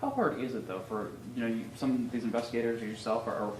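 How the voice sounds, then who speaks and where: A man's voice: medium pitch, fairly even intonation, fast, darkish tone. A man asking interview questions as a reporter, wording them in a rambling, stumbling way. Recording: distant, roomy press-gaggle audio with background noise.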